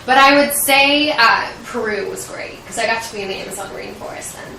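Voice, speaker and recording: Echoey, bright-voiced young American woman. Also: fairly high pitch, brisk. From Washington State, United States. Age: young adult. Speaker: medical student interviewing for residency. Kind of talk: interview answers. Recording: roomy echo, distant mic, faint noise.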